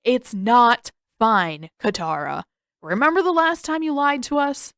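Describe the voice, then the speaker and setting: A woman's voice: fairly high pitch, lively intonation, a little slow, fairly bright tone, clear, resonant tone, dry recording, studio quality, pitched above the performer's own voice. A woman voicing a suspicious, stubborn teenage older brother in an audiobook, indignant and emphatic as he accuses. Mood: accusing.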